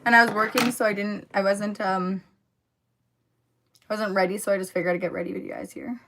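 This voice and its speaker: Hoarse young woman chatting on a livestream. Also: medium pitch, level tone, a little fast, noisy background. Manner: chatty, filler-heavy, talks to viewers in the comments. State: hoarse from a cold. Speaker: livestream host. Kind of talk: casual livestream chat while doing makeup.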